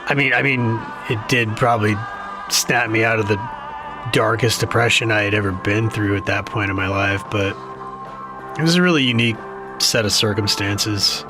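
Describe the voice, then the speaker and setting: An actor playing a jaded, guarded, grieving younger brother in an audio drama, speaking haltingly and self-deprecatingly. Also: male voice, fairly low pitch, music in the background, close to an everyday voice. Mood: guarded, reflective. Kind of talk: naturalistic audio drama dialogue.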